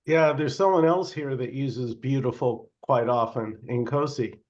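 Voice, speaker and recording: A man's voice: mid-range voice, measured pace, a little mellow, highs cut off. A man in a casual group chat who jokes around and laughs often.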